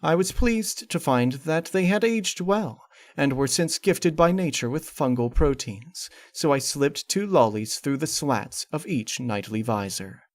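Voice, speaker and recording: A man's voice: a little high, lively intonation, unhurried, few pauses, dry recording. Man narrating gothic horror, dry close sound. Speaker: narrator of a horror fan fiction. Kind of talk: dramatic scripted story reading.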